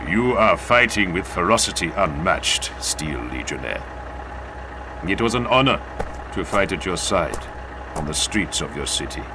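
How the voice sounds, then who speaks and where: A man voicing a respectful superhuman warrior, solemn, deliberate and ceremonious, in an audiobook. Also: sits low, big pitch swings, noisy background, voice pushed down. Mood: honoring. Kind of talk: dramatized audiobook. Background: music.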